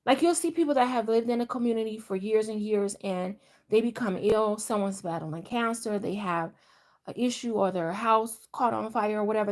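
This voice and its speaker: A middle-aged woman from Delaware chatting casually on a live stream, rambling and restarting her sentences as she talks. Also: mid-range voice. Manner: rambling, conversational, frequent restarts. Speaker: vlogger.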